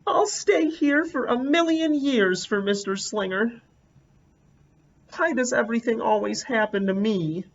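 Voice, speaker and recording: A man doing a high, dramatic little girl. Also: measured pace, vocal fry, slight background noise, heavily acted. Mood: sulking. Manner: high, wailing, stretched-out pleading. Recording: dull lo-fi recording.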